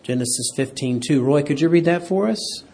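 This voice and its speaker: A man's voice: a little high, moderate pace, a little mellow. A man teaching a Bible class who keeps quizzing his listeners and asking them for an amen. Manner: quizzes the class, asks for amens. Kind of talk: interactive Bible lesson.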